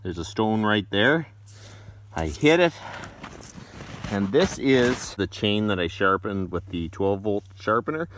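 A man's voice: fairly low pitch, lively intonation, a little slow, long pauses, fairly bright tone, a little hiss or hum. A young man talking casually to the camera while cutting firewood outdoors in the woods, thinking aloud between jobs. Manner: chatty, thinking aloud between chainsaw cuts. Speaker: homesteader vlogger.

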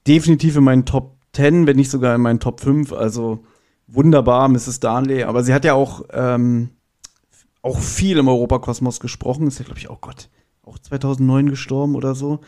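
A man's voice: mid-range voice, fairly even intonation, a little fast, dark tone. A man chatting casually as a podcast guest, reviewing an audio drama.

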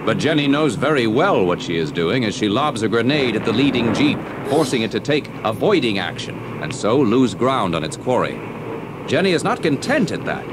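A man's voice: medium pitch, big pitch swings, normal speed, noisy background. A man narrating a scripted children's adventure story as its storyteller. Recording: old story cassette, music underneath. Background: music.

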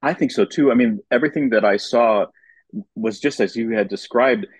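A man's voice: medium pitch, moderate pace, slightly rough, no echo. A man chatting casually as a guest commentator on an arts podcast. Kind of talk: casual chat.

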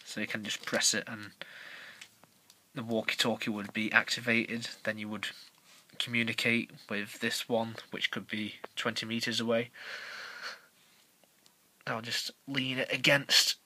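A young man around nineteen giving a casual, unscripted review as a video creator, halting and pausing mid-phrase. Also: medium pitch, flat delivery, sharp tone, low-quality recording. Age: young adult, about 19. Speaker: YouTube toy reviewer. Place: small bedroom, indoors. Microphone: handheld camera's built-in mic.

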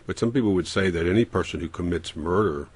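A man's voice: low-pitched, fast, slightly rough. A man on a radio panel putting questions in a conversational way.

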